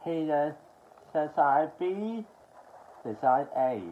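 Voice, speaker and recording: Young guy mumbling thru a casual show and tell, pitch jumps around a lot, muffled noisy home recording. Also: expressive, very slow. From Australia. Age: young adult. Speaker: collector showing his media haul. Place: small furnished room at home, indoors. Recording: dull lo-fi sound with background noise.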